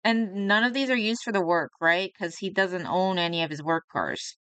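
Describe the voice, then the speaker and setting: A woman's voice: on the low side, expressive, normal speed, fairly bright tone, smooth, no echo. A young woman streaming live, chatting casually about herself and reacting to a video, often laughing and poking fun at herself.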